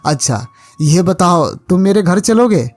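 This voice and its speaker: A man's voice: high-pitched, animated, a little slow, no echo. A man voicing a kind-hearted, caring village farmer in a cartoon story, earnest and exclamatory. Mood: warm, caring. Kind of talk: Hindi cartoon moral story acting.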